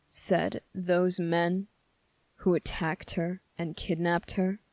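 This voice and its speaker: Someone acting out a brooding demon for asmr roleplay, gravelly and taunting, really slow, super close dry mic. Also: medium pitch, no echo. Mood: suspicious, probing. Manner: slow, deliberate, taunting, with dramatic pauses. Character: brooding menacing demon with a hidden moral code. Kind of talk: intimate ASMR roleplay monologue. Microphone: close, dry microphone.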